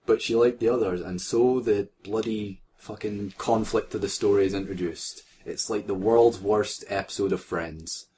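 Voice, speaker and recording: A man's voice: lowish voice, fairly even intonation, normal speed, hardly any pauses, mellow, slight background noise. A man reading fan fiction aloud for comedy, breaking off into sarcastic, sweary, giggly banter. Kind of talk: mocking comedic read-aloud with casual banter.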